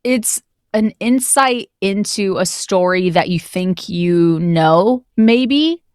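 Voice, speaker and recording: A woman's voice: mid-range voice, animated, slow, a little mellow, smooth, no room sound, clean studio sound. A woman speaking casually as an interview guest who narrates audio drama, explaining things in a reflective way.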